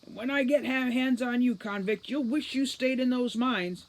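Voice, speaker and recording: A woman reading a fantasy audiobook as a gruff, irascible older male fairy commander, cackling threats and barking orders. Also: a little high, normal speed, pitched above the performer's own voice, lightly acted. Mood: angry, threatening. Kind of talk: audiobook children's fantasy character voice.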